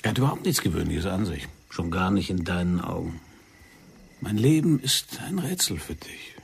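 A low-voiced, controlled secret agent. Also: male voice, big pitch swings, creaky, husky, full character voice. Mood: intense, quiet. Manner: measured, insistent, instructing. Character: controlled, commanding male secret agent coaching a cover story. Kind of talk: radio play acting.

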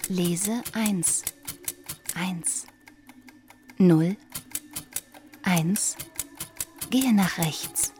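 A woman giving measured, scripted narration for a radio documentary drama. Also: fairly low pitch, darkish tone, slight background noise.

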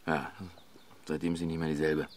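A man's voice: a bass voice, flat delivery, a little fast. An actor playing a weary, talkative family father with a sardonic, colloquial manner in a naturalistic radio drama. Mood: resigned.

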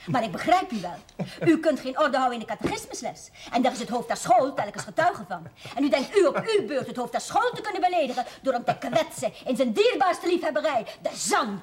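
Domineering mother, high voice, indignant, lecturing, period TV drama, faint noise. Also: big pitch swings, brisk. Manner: stern, haughty, lecturing. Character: domineering, indignant small-town schoolmaster's wife and mother. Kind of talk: television drama acting.

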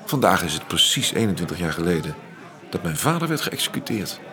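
Restless man in his thirties: evasive, halting, very animated intonation, naturalistic radio drama. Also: deep voice, heavily acted. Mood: guarded. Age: 30s. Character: restless, evasive male friend, a war criminal's son.

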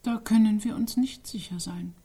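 Radio play: a doubting woman, mid-pitched, husky, questioning in brief phrases. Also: moderate pace, dark tone. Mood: sceptical. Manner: sceptical, short questioning interjections.

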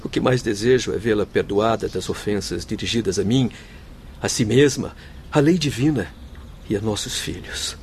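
An actor in a radio soap playing a noble, devoted doctor's spirit, speaking with formal, heartfelt solemnity. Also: male voice, on the low side, moderate pace, darkish tone, slight background noise, lightly acted. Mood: yearning, devoted. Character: noble grieving Spanish doctor spirit, devoted forgiving husband. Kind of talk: radio soap opera acting.

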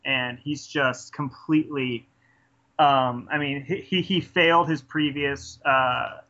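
Bright-voiced man rambling about films. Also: medium pitch, steady tone, slow. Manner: enthusiastic, rambling with frequent restarts. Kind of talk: casual film review chat. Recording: dull lo-fi podcast audio.

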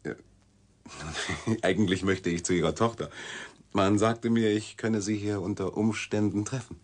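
An actor playing a young newspaper journalist in a German radio drama, casually inquisitive and wryly polite. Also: male voice, lowish voice, fairly even intonation, measured pace. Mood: probing, polite. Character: probing, wry young male newspaper journalist.

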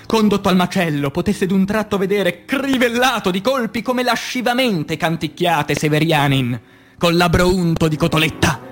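A man reciting poetry as a stage actor, in a dramatic, declamatory and solemn manner with long pauses. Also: sits high, fairly bright tone. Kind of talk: dramatic theatrical poetry recitation for radio theatre.

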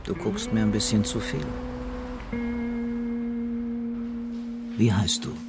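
An actor playing a middle-aged, dreamy and brooding police commissioner in a radio crime drama, talking calmly and deliberately. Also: male voice, fairly low pitch, loud music behind the voice, close to an everyday voice. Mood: calmly probing. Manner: musing, calm, deliberate.

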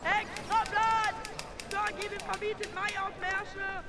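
A woman playing a young street newspaper seller in a radio play, crying headlines in drawn-out calls. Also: extremely high pitch, level tone, background noise, heavily acted. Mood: urgent hawking. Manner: drawn-out hawking cries.

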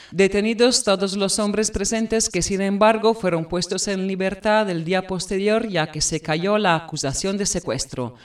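An actress playing a formal television news presenter reading a scripted bulletin in an audio drama. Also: female voice, mid-range voice, distant mic, close to an everyday voice.

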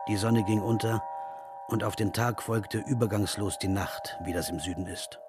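An actor playing a traveling writer who narrates his journey in a measured, descriptive way in a radio drama. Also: on the low side, fairly even intonation, loud music behind the voice, natural voice. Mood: calm, observant.

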